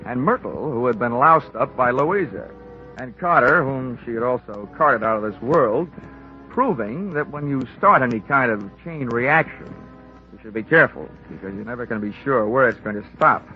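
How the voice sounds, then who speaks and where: A man's voice: medium pitch, expressive, normal speed, natural voice. An actor playing a wry, sardonic insurance investigator narrating his case in 1940s radio detective style. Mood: dry, sardonic. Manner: sardonic, wisecracking. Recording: muffled old radio recording, faint music.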